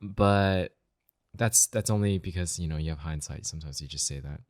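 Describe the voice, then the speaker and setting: A man's voice: deep voice, normal speed, darkish tone, no room sound, clean studio sound. A man on a podcast panel giving his opinions in casual conversation. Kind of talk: casual film discussion chat.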